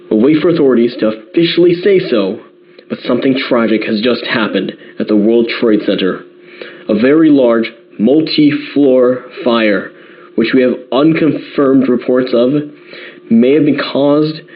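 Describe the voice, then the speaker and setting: A man's voice: mid-range voice, close to an everyday voice. Man acting grave radio newsman, slightly dull sound. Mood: grave, shaken. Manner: measured, careful, repeating key details. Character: grave New York radio newsman breaking disaster news. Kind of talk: live breaking-news radio acting.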